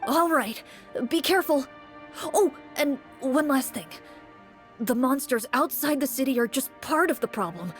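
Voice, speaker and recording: Worried young townsperson for a game, high-pitched, earnest, polite and a little hesitant. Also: female voice, expressive, measured pace, soft background music. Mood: earnest warning. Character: earnest, worried young city dweller pleading for help. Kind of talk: video game voice acting.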